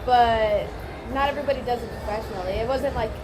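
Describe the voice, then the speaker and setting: A woman's voice: on the high side, fast talker, crowd noise. A young woman from Hawaii, a slap fighter being interviewed in a casino, talking easygoing and laughing often. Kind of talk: casual interview. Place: casino, busy public area. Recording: noisy crowded casino background.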